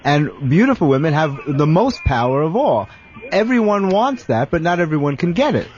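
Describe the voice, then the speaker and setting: A man with a husky voice explaining his view as a panelist in an unscripted radio discussion. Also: a little high, sing-song, moderate pace, a little mellow. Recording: muffled lo-fi radio recording.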